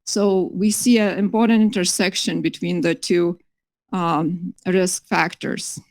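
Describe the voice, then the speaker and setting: A woman's voice: on the low side, little pitch movement, a little slow, darkish tone, dry recording. Earnest woman presenting. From Lithuania. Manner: earnest, many fillers and restarts. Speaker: conference presenter. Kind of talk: academic conference talk. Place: conference hall.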